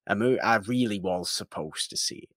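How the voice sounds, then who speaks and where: Low-voiced man co-hosting a podcast. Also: normal speed, fairly bright tone, no echo, studio quality. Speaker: podcast co-host. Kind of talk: casual film discussion.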